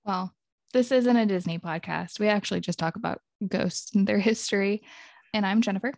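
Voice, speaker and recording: A woman's voice: medium pitch, a little fast, vocal fry, a little mellow, no echo, studio quality. A woman who sounds congested, co-hosting a podcast in a chatty, giggly, rambling way. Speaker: podcast co-host. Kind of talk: casual chat.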